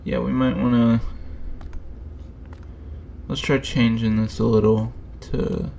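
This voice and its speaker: Slow, gravelly man muttering over gameplay. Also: mid-range voice, level tone, mellow, a little hiss or hum. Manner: muttering to himself in short fragments. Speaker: streamer. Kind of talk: casual thinking-aloud gameplay commentary.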